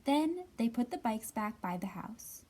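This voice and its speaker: A woman hosting a children's storytime, reading and counting in an expressive, sing-song way with drawn-out words. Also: fairly high pitch. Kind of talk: expressive read-aloud and counting for young children. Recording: somewhat distant from the mic.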